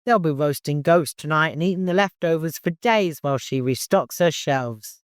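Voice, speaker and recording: A man's voice: a little high, normal speed, clear, resonant tone, no echo, clean studio sound, voice pushed up, close to an everyday voice. A man voicing a genial, deferential, rotund married provisioner in an audiobook story. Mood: cheerful, deferential.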